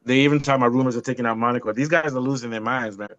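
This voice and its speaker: A man co-hosting a motorsport podcast, talking bluntly in an animated rant full of restarts. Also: mid-range voice, rapid-fire, dry recording, muffled recording. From not European. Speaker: podcast co-host. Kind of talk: casual opinionated chat.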